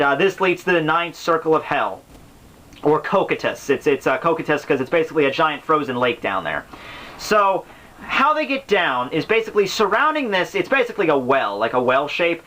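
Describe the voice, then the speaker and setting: Bright-voiced man, casual rambling commentary. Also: on the high side. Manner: rambling, slangy, with exaggerated exasperation. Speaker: host of a book summary series. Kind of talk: casual unscripted explanatory commentary.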